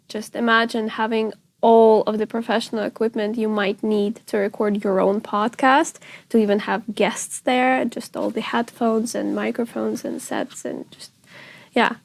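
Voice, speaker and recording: Upbeat young woman student host, slightly high, gravelly edge. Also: dry recording. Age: young adult. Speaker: talk show host, student. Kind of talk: scripted casual talk show hosting.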